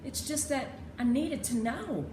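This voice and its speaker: A woman's voice: high voice, expressive, unhurried, voice pushed up. A woman voicing an earnest, stubborn and curious young girl in a children's storybook. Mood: curious, insistent. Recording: echoey room with clear background noise.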